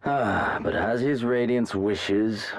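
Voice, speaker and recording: A man playing a menacing imperial warlord prince in a video game, speaking in a husky rumble with a slow, contemptuous aristocratic drawl. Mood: bored contempt. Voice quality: gravelly, husky low rumble. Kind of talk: dramatic video game acting.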